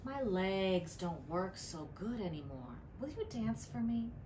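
Woman reading as a gentle older great-aunt. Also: medium pitch, sing-song, unhurried. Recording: clearly noisy room ambience.

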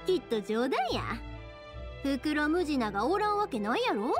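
A woman voicing a cheerful, big-sisterly young fireworks maker in an anime game, with a Kansai accent and a lively, reassuring, colloquial way of talking. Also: high-pitched, moderate pace, music in the background. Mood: encouraging. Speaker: video game character voice actor. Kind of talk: anime-style game dialogue.

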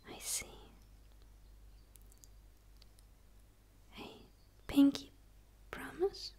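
A woman voicing a gentle, curious dark alien in a soft, tentative, pleading ASMR roleplay whisper. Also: takes it slowly, lightly acted. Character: gentle, curious female dark alien eager for human friendship.

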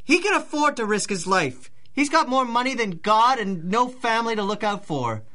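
A man's voice: very high-pitched, big pitch swings, moderate pace, sharp tone, heavily acted. A man playing an earnest, desperate young working man turned burglar in a 1930s-style radio drama. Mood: accusing. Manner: earnest, pleading.

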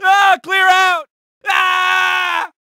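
A man's voice: extremely high pitch, monotone, extremely slow, pushed and bright, dry recording, raised voice, heavily acted. A man voicing a vain, cocky young street-racer car in a video game, talking in boastful slang with lots of exclamations. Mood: indignant, startled. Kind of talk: video game character barks.

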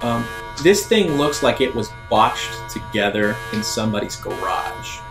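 A man acting as game master, improvising descriptive tabletop narration and often pausing mid-phrase. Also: lowish voice, lively intonation, rough recording. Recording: background music under voice.

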